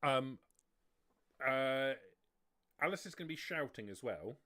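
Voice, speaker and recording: A man's voice: medium pitch, animated, unhurried, bright, forceful tone, no echo, studio quality. A man running a tabletop roleplaying game, casually narrating and talking through rules as game master.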